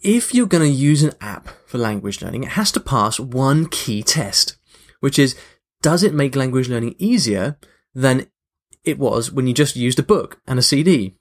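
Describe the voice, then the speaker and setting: Man, medium pitch, podcast host, conversational, chatty, reflective. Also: expressive, moderate pace. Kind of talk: casual chatty monologue to listeners.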